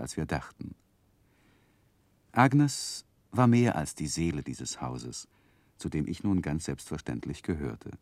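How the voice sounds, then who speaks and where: An actor playing an earnest, reflective gentleman who recounts his boyhood as narrator of a 1960s German radio drama, with careful, tender phrasing. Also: low voice, no echo.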